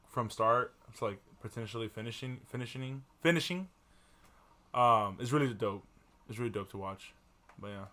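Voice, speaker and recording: A young man with a bright, crisp edge to his mid-pitched voice. He chats in a relaxed, slangy way, calling his friends bro and dog, and often lets sentences trail off. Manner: slangy, laid-back, trails off. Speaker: podcast co-host. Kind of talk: casual chat.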